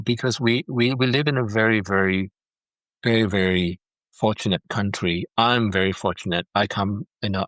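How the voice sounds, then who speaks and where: Earnest man from Singapore, lowish voice. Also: fairly bright tone, no echo, low-quality recording. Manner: earnest, repeats words while thinking. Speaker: foster dad, guest. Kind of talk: heartfelt interview over a call. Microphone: video call.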